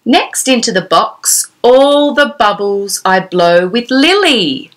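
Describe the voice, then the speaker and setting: A woman's voice: on the high side, lively intonation, takes it slowly, fairly bright tone. A woman in her thirties presenting a children's storytime, reading aloud in an exclamatory, sing-song way and putting questions to the young listener. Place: small decorated room indoors.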